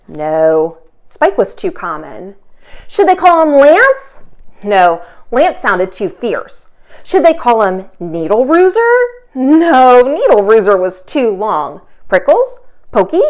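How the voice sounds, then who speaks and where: A woman teacher hosting a children's storytime, reading aloud expressively with dramatic pauses and emphasis. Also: a little high. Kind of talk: animated children's read-aloud.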